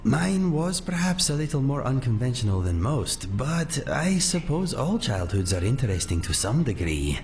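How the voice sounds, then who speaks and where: A man playing a gentle, courteous cat-folk sellsword in a fantasy game, speaking in measured, formal phrases without contractions. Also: medium pitch, sing-song. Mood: wry, reminiscing. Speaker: companion character in a fantasy video game. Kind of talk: scripted game dialogue. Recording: faint music under the voice.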